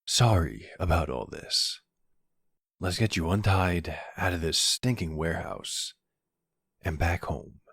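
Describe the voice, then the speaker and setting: A man playing a charming, smooth-talking mafia boss in an ASMR roleplay, wry and flirtatious. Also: deep voice, expressive, slow pace, fairly bright tone, no room sound, clean studio sound, full character voice. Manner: flirtatious, wry, exasperated with his cousin. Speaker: voice actor.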